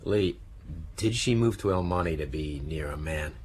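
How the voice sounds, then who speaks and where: A man's voice: extremely low pitch, measured pace, husky, full character voice. An actor playing a blunt police detective in a radio drama, pressing and sceptical as he questions. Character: blunt, probing 1950s American police detective.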